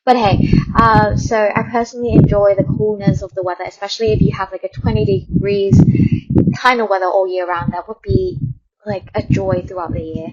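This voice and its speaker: A woman from Thailand presents a radio update in a casual, conversational style. Her voice sits at a middling pitch, and she rambles a little, repeating words to stress them. The audio is somewhat dull and lo-fi. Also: noisy background. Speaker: radio host.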